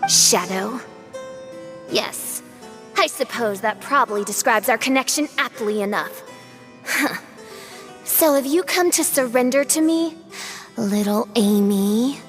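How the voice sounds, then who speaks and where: High-voiced, haughty, theatrical young princess. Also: female voice, takes it slowly, long pauses, over music. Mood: scornful. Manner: pompous, archaic theatrical diction.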